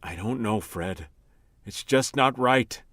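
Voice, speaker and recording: A man's voice: fairly low pitch, expressive, takes it slowly, a bit bright, no echo, exaggerated voice. A man playing a guilt-ridden, haunted man in a radio mystery drama, speaking hesitantly and troubled. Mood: troubled, pleading.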